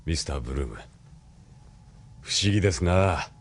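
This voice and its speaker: Deep-voiced, calculating military colonel. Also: male voice, animated. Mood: probing, polite. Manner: measured, formal, probing. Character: cool, calculating male military colonel, formally polite. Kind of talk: Japanese audio drama acting.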